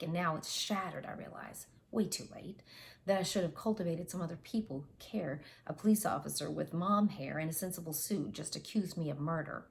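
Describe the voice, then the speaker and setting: A woman's voice: fairly low pitch, a little hiss or hum. A woman in her forties reading a first-person novel aloud, recorded on earbuds in a home office. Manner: reads aloud with character voices and retakes. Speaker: audiobook reader. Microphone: wireless earbuds.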